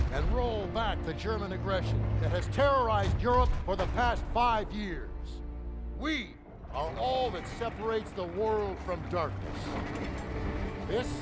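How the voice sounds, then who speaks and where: Commanding colonel for a war game, measured and grand, fairly high-set voice, score swelling behind. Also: male voice. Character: stern male army colonel, middle-aged, rousing commander. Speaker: army colonel. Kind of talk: game cutscene acting. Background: orchestral score.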